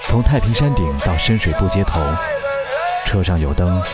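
An actor playing a weary, wistful singer in a radio drama, with measured, introspective delivery. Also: male voice, low voice, expressive. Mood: wistful, reflective. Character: weary, wistful male pop singer recalling his past. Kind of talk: radio drama acting with reflective narration. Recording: music bed behind the voice.

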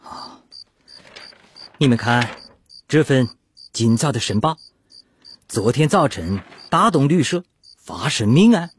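An actor playing an earnest, concerned revolutionary delegate in a Chinese radio drama, serious and declamatory. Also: male voice, medium pitch, sing-song, slow pace, pauses often, a little hiss or hum. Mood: grave, alert.

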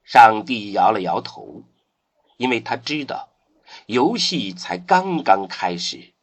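A man narrating a science-fiction radio drama in a solemn storytelling manner, with dramatic pauses. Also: fairly low pitch, animated.

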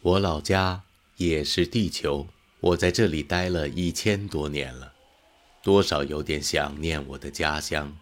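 A man playing a mysterious, calm, thousand-year-old being with a booming voice heard through a control-room speaker. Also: unhurried. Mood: wistful.

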